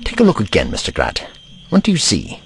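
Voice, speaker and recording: A man plays an eccentric, brainy time-travelling scientist in an audio drama. His low voice swings widely as he muses in wordy, gently pedantic phrases, kindly yet testy, with faint music behind. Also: wildly swooping pitch, brisk, gravelly, full character voice. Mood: confident, instructing.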